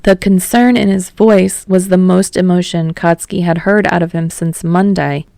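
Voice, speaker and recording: A woman's voice: fairly low pitch, fairly even intonation, moderate pace, creaky, dark tone, no echo, clean studio sound. A woman reading scripted fiction aloud as a narrator.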